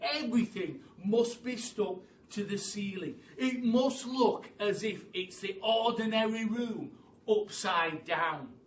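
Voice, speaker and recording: Bloke doing a bossy monkey for storytime, high voice, urgent, a bit far from the mic. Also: male voice, takes it slowly. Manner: urgent, instructing, listing. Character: bossy, eager male monkey, leader of the animals. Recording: roomy, distant mic, faint hiss.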